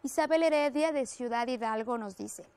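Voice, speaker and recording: A woman radio host with a Mexican accent, briskly reading listener calls and interjecting during a call-in interview. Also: on the high side, darkish tone, clean tone.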